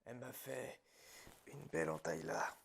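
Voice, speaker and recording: A man playing a calm, gloating psychopathic killer in an ASMR horror roleplay, husky and gravelly, often dropping toward a whisper. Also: medium pitch. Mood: wistful, obsessed. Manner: slow, pausing, quietly menacing storytelling. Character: calm, gloating male psychopath killer confessing his story.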